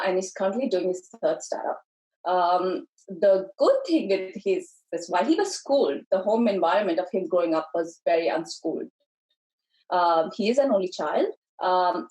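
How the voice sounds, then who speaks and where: A woman's voice: mid-range voice, a little mellow, poor audio. A woman in her thirties, originally from India, chatting as a podcast guest in a loose, rambling way with frequent ums and restarts. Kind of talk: casual interview chat.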